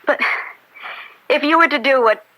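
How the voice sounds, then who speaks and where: An actress playing a judge's young daughter in an old-time radio drama, earnest, tender and devoted. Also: female voice, high-pitched, normal speed, bright, forceful tone, low-quality recording. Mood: proud, earnest.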